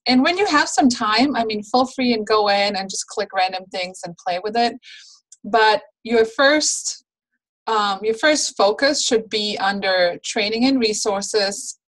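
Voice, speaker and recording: A woman's voice: medium pitch, rough recording. A woman leading a training call for new consultants, friendly and instructive, with frequent "um"s, on a video call. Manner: friendly, instructive, many fillers. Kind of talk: training call with screen share.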